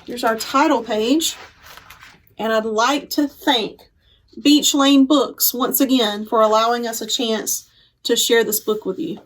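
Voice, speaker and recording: A woman's voice: fairly high pitch, fairly even intonation, a little slow. A woman hosting a children's storytime, explaining simply and reading a picture book aloud for young listeners. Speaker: children's librarian, storytime host.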